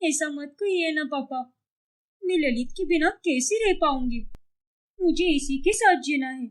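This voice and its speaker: A woman's voice: high-pitched, expressive, unhurried. A woman voicing a stubborn, lovestruck young woman with a whiny, dramatic manner in a cartoon story. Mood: pleading. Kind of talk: cartoon moral story dialogue.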